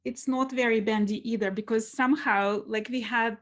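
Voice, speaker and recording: A woman's voice: a little high, fairly even intonation, normal speed. A woman hosting a podcast interview, chatting casually with many "like" fillers. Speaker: podcast host.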